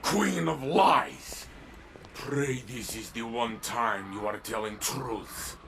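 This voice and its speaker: An actor voicing a righteous, anguished warrior in a video game, gravelly and declamatory. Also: male voice, medium pitch, lively intonation, takes it slowly, crowd noise. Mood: bitter, stern. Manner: declamatory, impassioned. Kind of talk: video game cutscene dialogue.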